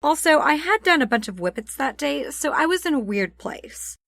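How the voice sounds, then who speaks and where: A woman's voice: high-pitched, big pitch swings, normal speed, vocal fry, a bit bright, dry recording, studio quality, pitched above the performer's own voice, full character voice. A woman voicing a brash, flippant, habitually lying woman with a blunt, emphatic manner in an audiobook. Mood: defensive, flippant. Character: brash, indignant gun-waving woman, a habitual liar.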